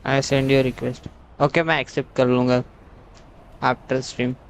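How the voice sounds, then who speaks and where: A man's voice: medium pitch, monotone, racing, a little hiss or hum. A man with an Indian accent giving casual live gaming commentary, switching between Hindi and English. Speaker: livestreamer.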